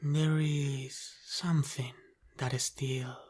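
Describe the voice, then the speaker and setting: A man playing a teasing, menacing mafia boss with an Italian-American accent in an ASMR roleplay, speaking deliberately and sometimes dropping into a whisper. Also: medium pitch, little pitch movement, a little mellow. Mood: teasing, probing. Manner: slow, deliberate, teasing, with breathy sighs and whispered phrases.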